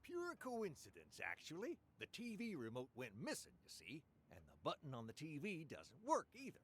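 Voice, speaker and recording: An actor playing a stern, calculating ringleader in a radio drama, theatrical and commanding. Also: male voice, on the high side, wildly swooping pitch, moderate pace, fairly bright tone, slight background noise, heavily acted. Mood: sly, explaining. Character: bossy male scheming ringleader, stern and calculating.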